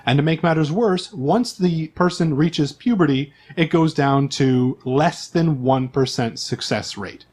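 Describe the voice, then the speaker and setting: Higher-voiced man hosting a tabletop game. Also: measured pace, few pauses, darkish tone. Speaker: host and game master. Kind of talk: casual explanatory narration to players and listeners.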